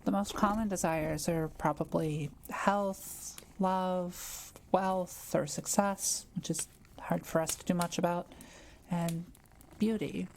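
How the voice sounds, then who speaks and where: Kind witch for audio roleplay, female performer: relaxed, crackly low-leaning voice, gentle and thoughtful, quietly proud of her craft. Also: slow, creaky. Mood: surprised, thoughtful. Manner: gentle, hesitant, explanatory musing with small hums. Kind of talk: intimate audio roleplay addressed to the listener.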